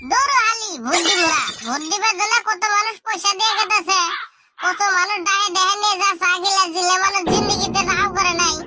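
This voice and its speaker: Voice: extremely high pitch, fairly even intonation, quick pace, very noisy. A performer voicing a whiny, indignant little cartoon schoolkid who rambles and grumbles. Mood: indignant, argumentative. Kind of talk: comedy cartoon dialogue in colloquial Bangla.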